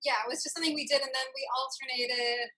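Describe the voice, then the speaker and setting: Bright, high-voiced woman hosting a chat show. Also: steady tone, fast talker. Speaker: talk show host. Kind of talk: casual video chat interview.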